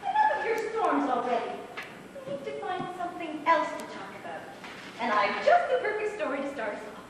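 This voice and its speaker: A woman acting a chatty, excitable middle-aged mother in an amateur stage play, gushing and rambling. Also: very high-pitched, fairly quick, full character voice. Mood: chatty, fussing. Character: chatty, excitable middle-aged mother fussing over small-town news. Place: small theatre stage, recorded from the audience. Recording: echoey theatre stage from far off, noisy.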